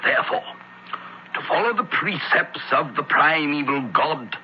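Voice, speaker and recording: An actor playing a stern Roman judge in a radio drama, handing down sentences in grandiose, drawn-out phrases. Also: male voice, fairly high pitch, expressive. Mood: solemn, ominous. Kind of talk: 1970s radio drama acting. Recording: muffled noisy old radio recording.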